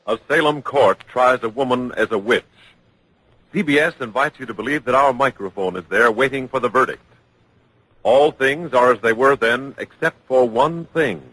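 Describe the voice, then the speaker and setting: A man's voice: medium pitch, moderate pace, a bit bright, husky, dry recording, rough recording. A man announcing in solemn, formal 1940s radio style, reading scripted narration.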